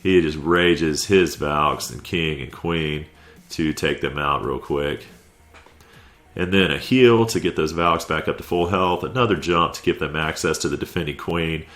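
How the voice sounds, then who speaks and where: Man, very deep voice, stuffy from a cold, relaxed play-by-play, soft music behind. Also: measured pace. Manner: laid-back, conversational, with light chuckles. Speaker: clan war recap commentator. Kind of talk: casual video game play-by-play voice-over.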